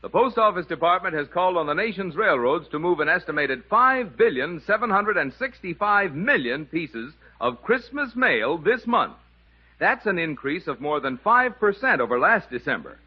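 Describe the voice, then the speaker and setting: Animated 1950s radio announcer, a man. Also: sits high, normal speed. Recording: slightly dull 1950s radio recording.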